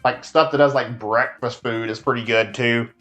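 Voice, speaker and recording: Texan male streamer, mid-pitched, rambles through his chat over faint game music, casual and unscripted. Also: fairly even intonation, moderate pace, pushed and bright. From Texas. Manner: rambling, filler-heavy, answers chat as he plays. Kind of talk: casual live-stream chat while playing.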